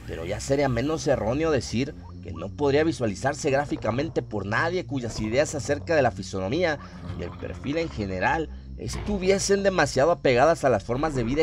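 A man reading a scripted horror story as a narrator, in a dramatic, suspenseful manner with drawn-out phrasing. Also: on the high side, a little hiss or hum. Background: music.

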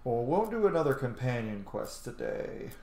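A man's voice: mid-range voice, big pitch swings, normal speed, a little mellow. A man in his thirties giving casual commentary on a video game playthrough from a small room. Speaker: game streamer. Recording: echoey room, game music behind.

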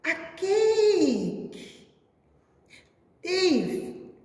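High, slow sing-song woman storyteller. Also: sing-song, a little mellow. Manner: simple, repetitive, exaggerated sing-song emphasis. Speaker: preschool teacher. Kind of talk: read-aloud storytelling for small children. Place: indoor classroom. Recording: echoey room with long reverb.

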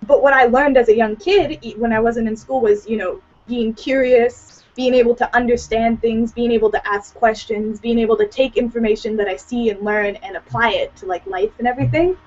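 A woman's voice: on the high side, steady tone, brisk, hardly any pauses. A teenage young woman from Hawaii chatting informally as a guest on a video call, often saying "like" and "you know". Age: teens. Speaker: student guest. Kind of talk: casual video-call chat. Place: small room at home. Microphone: computer on video call. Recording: dull lo-fi video-call audio.

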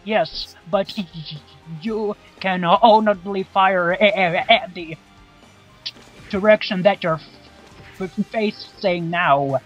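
A man's voice: high-pitched, slow, long pauses. A man with a stammer that repeats syllables, casually explaining the game he is playing. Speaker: guest player on commentary. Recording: dull lo-fi voice track, music behind. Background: video game music.

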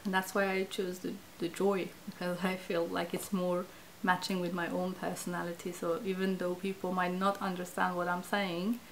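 A woman in her thirties from Iran with a Persian accent, a native Farsi speaker, talking reflectively to camera in a small indoor studio and often pausing to search for words. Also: lowish voice, level tone, slight background noise, poor audio. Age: 30s. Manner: hesitant, searching for words, many fillers. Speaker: interviewee, language project participant. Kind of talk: reflective interview talk to camera.

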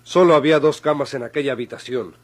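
A Mexican actor playing a commanding, suspicious lawyer with an authoritative, deliberate manner, in old radio drama style. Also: male voice, medium pitch, loud music behind the voice, natural voice. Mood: stern, reasoning. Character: stern male lawyer, commanding and suspicious interrogator.

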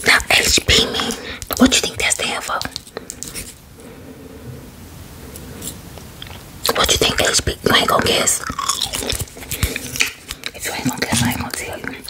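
A woman playing a sassy, defensive salon beautician in a breathy, whispered ASMR roleplay, using colloquial, repetitive patter. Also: close to an everyday voice, rough recording. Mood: teasing, instructive. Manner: slow, sassy, colloquial patter with repeated phrases.

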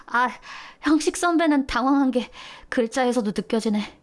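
Brief: actress as a polite, giggly young junior, high, lively pitch. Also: female voice, slow. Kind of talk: amateur audio drama acting.

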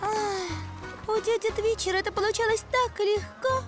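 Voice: very high-pitched, unhurried, a bit bright, soft background music. An actor voicing an earnest, organised little kitten girl in a cartoon, sounding slightly worried. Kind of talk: animated cartoon dub.

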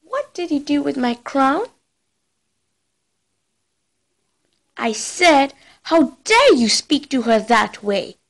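A woman voicing a bookish young pony princess from a cartoon, earnest, excitable and dramatic in her delivery. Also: high voice, unhurried, gravelly, full character voice. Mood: indignant. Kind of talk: cartoon character acting.

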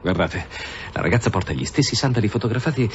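An actor playing an intent, puzzled police investigator in a radio drama. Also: male voice, fairly low pitch, animated, quick pace, close to an everyday voice. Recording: muffled, faintly noisy radio drama.

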